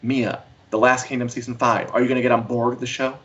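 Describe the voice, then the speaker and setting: A man's voice: medium pitch, steady tone, quick pace, fairly bright tone, slight background noise. Chatty male co-host, dull lo-fi stream. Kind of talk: casual pop culture chat show. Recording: dull lo-fi livestream audio.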